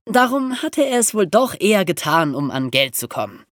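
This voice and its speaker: Podcast history presenter, mid-pitched voice, lively intonation, dramatic storytelling emphasis, dry studio sound. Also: moderate pace, no room sound.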